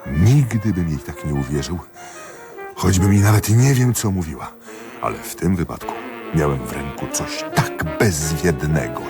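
An actor in a radio drama playing a jealous husband and magistrate, confiding ruefully and emphatically to a friend. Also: male voice, extremely low pitch, heavily acted. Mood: relieved, sheepish. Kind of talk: theatrical radio drama monologue.

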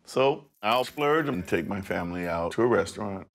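A man's voice: low-pitched, expressive, normal speed, creaky, slight background noise. A middle-aged man talking in a reality TV interview, proud and chuckling about saving money. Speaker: reality show subject, frugal father. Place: home room, indoors. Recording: background music.

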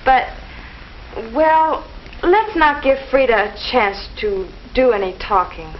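A young woman playing a cautious, sensible teenage girl in a 1950s school film, speaking in a careful, gentle way. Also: sits high, lively intonation, slow pace. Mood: worried. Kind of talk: 1950s educational film acting. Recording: muffled old film soundtrack with hiss.

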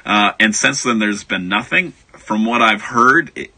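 American male interview guest, forties, very bright but raspy tone, self-deprecating storyteller. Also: lowish voice, measured pace. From United States. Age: 40s. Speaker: author being interviewed. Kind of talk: casual anecdotal interview talk.

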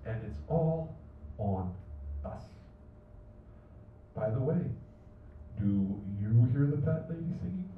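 A man's voice: medium pitch, animated, slow, long pauses, a little hiss or hum. An older man reading his poem at a cafe open mic, chanting slogans and dropping to near whispers. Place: small cafe at night, indoors. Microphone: stand mic from a far camera. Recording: roomy, distant, dull livestream audio.

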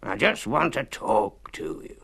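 A man voicing a sly, coaxing old fox in a children's storybook, with a slow, drawn-out growl. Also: lowish voice, sing-song, creaky, a bit bright, slightly rough, exaggerated voice.

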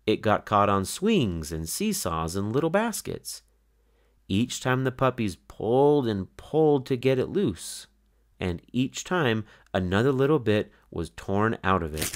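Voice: medium pitch, big pitch swings, unhurried. A narrator reading a children's picture book aloud in a scripted storytelling style.

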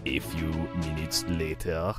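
A man's voice: very deep voice, little pitch movement, a little slow, over music. A man narrating fan fiction, reading out the stage directions.

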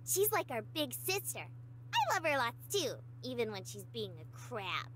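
A woman's voice: on the high side, big pitch swings, unhurried, a bit bright, slight background noise. A woman voicing a bubbly, eager, affectionate young spirit girl in a visual novel game, with a folksy, chirpy way of talking.

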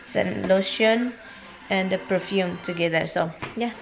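A woman's voice: medium pitch, normal speed, a little hiss or hum, low-quality recording. Filipina lady in her 30s vlogging, chatty and rambly, camera at arm's length, home and car. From Philippines. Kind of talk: casual vlog chat. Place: home, then inside a car. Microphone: handheld camera at arm's length.

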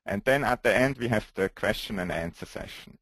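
A man with a strong Swiss accent presenting a company webinar, pausing often with "uh" fillers. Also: fairly low pitch, fairly bright tone, slightly rough, no room sound. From Switzerland. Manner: hesitant, frequent "uh" fillers, non-native phrasing.

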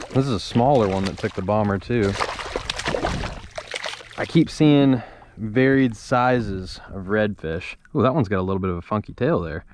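A young man with a fairly low voice talks casually, as a fishing vlogger does to the camera. He is recorded outdoors on open water, with clear background noise around his words. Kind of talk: casual fishing vlog commentary. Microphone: action camera worn or held close.

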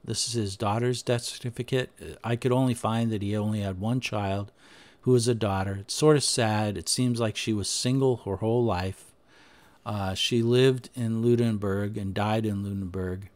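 A man with a fairly low voice walks through documents on his screen, talking them through in an unscripted way. He pauses often, says "uh", and restarts his sentences as he goes. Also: fairly even intonation, a little mellow. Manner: unscripted, thinking aloud with frequent "uh" and restarts. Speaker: history podcaster. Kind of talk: screen-share presentation, talking over slides.

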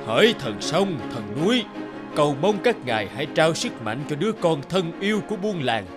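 A man voicing a solemn old male village elder in a cartoon, speaking ceremonially over loud music. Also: a little high, sing-song, moderate pace. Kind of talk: cartoon voice acting.

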